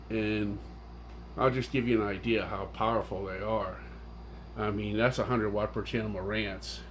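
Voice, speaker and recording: A man's voice: lowish voice, slight background noise. A man giving a casual, unscripted, rambling and technical review of his audio gear with enthusiasm.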